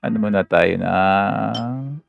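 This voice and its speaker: A man's voice: on the low side, takes it slowly, gravelly, highs cut off, slight background noise. A man with a Filipino accent giving casual live commentary while he plays a game, half talking to himself. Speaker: game livestreamer. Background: game music.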